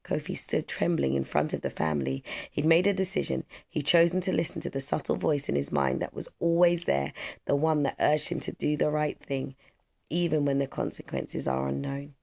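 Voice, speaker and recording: Children's book narrator: heavy vocal fry, measured and expressive read-aloud. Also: mid-range voice. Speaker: storyteller.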